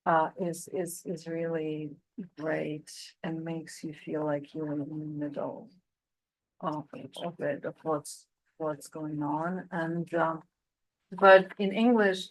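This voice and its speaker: A woman translator on a book panel answers an audience question. She speaks very slowly and thoughtfully, with a slightly low voice and gentle fillers. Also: poor audio. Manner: thoughtful, with soft fillers and restarts.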